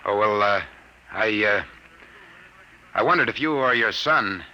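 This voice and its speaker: An actor playing a nervous, hesitant private detective in an old-time radio drama. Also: male voice, fairly low pitch, lively intonation, a little slow, pushed and bright, muffled recording, exaggerated voice. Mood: polite, uneasy. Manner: hesitant, stammering. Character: nervous, desperate male private detective, drugged and pleading. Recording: hissy, noisy old radio broadcast.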